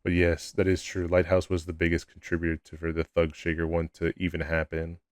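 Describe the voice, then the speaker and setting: A young man with a low voice, chatting casually with his live-stream chat in a laid-back, rambling way at a desk mic at home. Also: completely flat delivery, brisk, no echo, studio quality.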